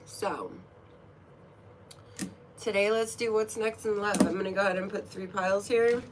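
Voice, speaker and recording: A woman's voice: medium pitch, normal speed, noisy background. A woman with a cold, sniffling, who chats casually and playfully with her stream viewers from her room at home before a card reading, giggling at her own jokes. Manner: chatty, playful, giggly asides. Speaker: tarot reader and streamer. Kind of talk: casual livestream chat.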